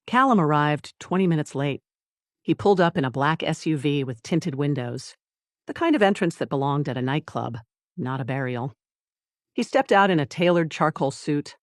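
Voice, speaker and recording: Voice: mid-range voice, no room sound. Dramatic audiobook narrator, dry close sound.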